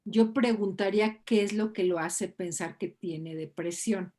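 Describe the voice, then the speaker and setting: A woman's voice: medium pitch, measured pace, mellow. Woman thinking aloud in class discussion. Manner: thoughtful, conversational, questioning. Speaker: co-facilitator reading chat comments.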